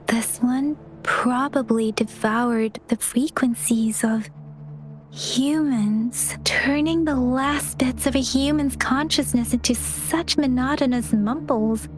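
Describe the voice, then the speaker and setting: A woman voicing an earnest, perceptive young investigator in a video game, cautious and compassionate, with a softly breathy, measured delivery. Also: fairly high pitch. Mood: thoughtful, hushed. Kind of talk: scripted game dialogue acting. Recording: game music behind the voice.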